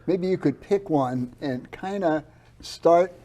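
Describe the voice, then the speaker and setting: A man hosting a community interview show, asking questions in a halting, restarting way and chuckling often. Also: fairly high pitch, mellow. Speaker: host.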